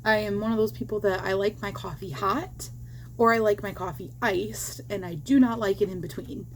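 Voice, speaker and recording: Female crochet vlogger, a little hoarse, chatty and rambling, with a faint hum behind her. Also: mid-range voice, steady tone, moderate pace. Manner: rambling and chatty, with many fillers and little laughs. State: slightly hoarse, losing her voice. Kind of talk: casual crochet tutorial chat. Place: at home.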